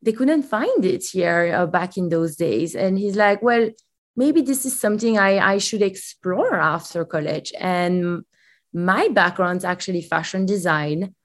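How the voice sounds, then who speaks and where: A woman's voice: on the low side, lively intonation, measured pace. A woman from Belgium with a Belgian accent, a company head telling her story as a guest in a casual interview.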